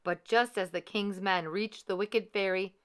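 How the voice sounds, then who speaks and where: A woman reading a children's storybook aloud in an expressive, measured, dramatic way. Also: medium pitch, smooth.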